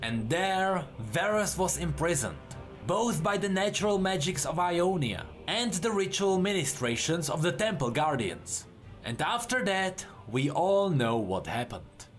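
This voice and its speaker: A man reading scripted fantasy lore as a narrator, in a measured, dramatic storytelling manner. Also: high voice, big pitch swings, faint music behind.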